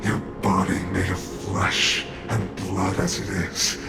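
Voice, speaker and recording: An actor playing a cold, contemptuous swordsman villain with a gravelly voice and grave, scornful delivery in a video game. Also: low voice, completely flat delivery, slow, exaggerated voice. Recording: echoing voice under loud music.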